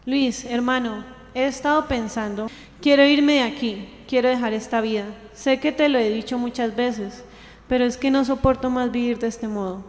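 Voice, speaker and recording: A young woman playing an earnest, anguished sister in an amateur radio drama, with a halting, plaintive delivery. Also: a little high, natural voice. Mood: troubled, insistent. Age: young adult. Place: indoor green-screen studio. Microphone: handheld dynamic microphone shared close up. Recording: reverberant hall-like echo.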